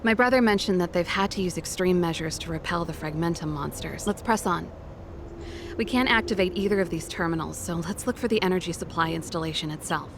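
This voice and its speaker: A woman's voice: on the low side, brisk, noisy background, close to an everyday voice. An actress playing a confident, easygoing young female mechanic and rock musician in a video game, talking casually. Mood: matter-of-fact. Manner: casual, self-assured, friendly. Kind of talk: video game character acting.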